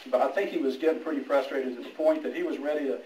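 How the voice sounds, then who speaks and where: A man's voice: medium pitch, darkish tone. Middle-aged man speechmaking in an echoey room. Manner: halting, anecdotal, with quoted dialogue. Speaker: police major giving a retirement speech. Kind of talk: informal speech with humorous anecdotes. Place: indoor room at a retirement party. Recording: echoey room, distant mic, background noise.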